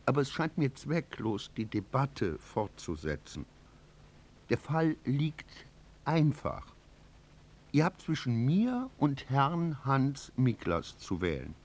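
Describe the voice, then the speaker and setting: An actor playing an arrogant, sneering, tyrannical theatre star in a radio drama, with a haughty, deliberate delivery. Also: male voice, medium pitch, wildly swooping pitch, a little mellow, heavily acted. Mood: cold menace. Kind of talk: German radio drama acting.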